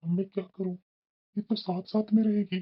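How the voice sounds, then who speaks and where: A woman's voice: medium pitch, steady tone, normal speed, slightly rough, natural voice. A woman voicing an irritable, scheming father in a family moral cartoon, grumbling and evasive. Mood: furtive, scheming. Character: scheming, irritable father who resents his daughters. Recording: dull, lo-fi cartoon voice track.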